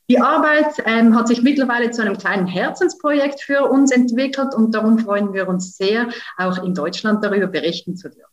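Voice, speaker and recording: Young Swiss woman presenting on a video call. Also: on the high side. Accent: Swiss German. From Switzerland. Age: young adult. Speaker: science slam presenter. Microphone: video call, computer mic. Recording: roomy, distant, dull sound.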